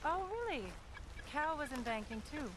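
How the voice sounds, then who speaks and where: An actress playing a genteel, unsure city-bred widow in a western video game, speaking in a refined, hesitant way with an American accent. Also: female voice, high voice, expressive, normal speed. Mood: wry. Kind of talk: naturalistic video game acting. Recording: clearly noisy, outdoor ambience behind.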